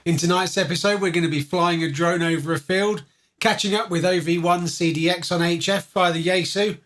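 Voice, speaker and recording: A man's voice: sits high, steady tone, fairly quick, a bit bright. A man hosting a livestream, talking casually and directly to his viewers. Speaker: host of a livestream channel.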